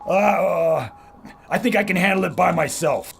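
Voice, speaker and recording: Man as a high, gravelly wisecracking flyboy. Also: moderate pace, a little hiss or hum. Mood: wary, flustered. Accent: American. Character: brash, suspicious wisecracking male American fighter pilot. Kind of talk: old-time radio adventure acting.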